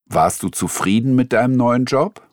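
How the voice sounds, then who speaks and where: A man in a studio, reading interview questions in a scripted exam dialogue in a clear, announcing manner. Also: medium pitch, normal speed, no room sound.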